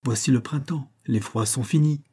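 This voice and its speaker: A man's voice: mid-range voice, normal speed, dark tone, breathy edge, pitched above the performer's own voice, natural voice. Man playing a blunt, sardonic frontier onlooker. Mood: mocking advice. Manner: sarcastic, blunt.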